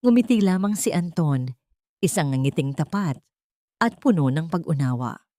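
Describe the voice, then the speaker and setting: A woman with a Filipino accent narrating an emotional scripted story in a measured, literary storytelling manner. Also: low voice, lively intonation, warm tone, no room sound, studio quality.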